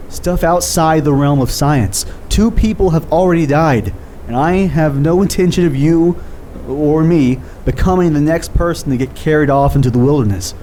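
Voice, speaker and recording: A man acting in an audio drama as a guilt-ridden, defensive skeptic, with halting, hesitant delivery and dry sarcasm. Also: a little high, a little mellow, slight background noise, lightly acted, poor audio. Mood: agitated, defensive.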